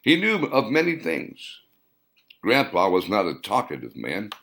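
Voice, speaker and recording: Narrator as earnest low-voiced male storyteller. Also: huge pitch swings, unhurried, vocal fry, fairly bright tone, exaggerated voice. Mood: earnest, reminiscing. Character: earnest man telling his grandfather's cave story. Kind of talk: audiobook character dialogue.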